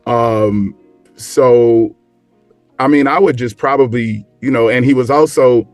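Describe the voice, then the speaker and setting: A man chatting casually in a group, informal and often halting as he searches for his words. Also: medium pitch, no echo. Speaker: show guest. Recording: background music under the voice.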